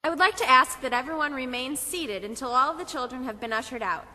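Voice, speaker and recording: A woman's voice: high voice, quick pace, in a large hall, background noise, lightly acted. A woman playing an effusive, prim children's teacher in a Christmas puppet show. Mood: effusive, bossy. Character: gushing, chatty female church children's teacher.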